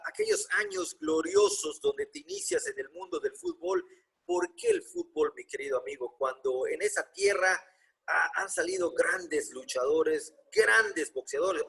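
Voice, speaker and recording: Lively older man interviewing. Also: high voice, gravelly, husky, rough recording. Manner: warm, chatty, theatrical questions. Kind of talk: casual interview.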